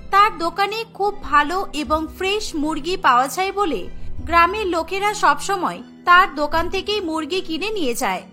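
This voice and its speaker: A woman's voice: very high-pitched, expressive, normal speed, sharp tone, soft background music. A woman narrating a scripted cartoon story.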